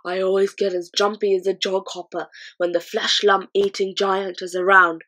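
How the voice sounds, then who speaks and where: A woman's voice: mid-range voice, level tone, moderate pace, a bit bright, lightly acted. Give me a kindly big giant with jumbled grammar and made-up words, a woman putting him on. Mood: gentle.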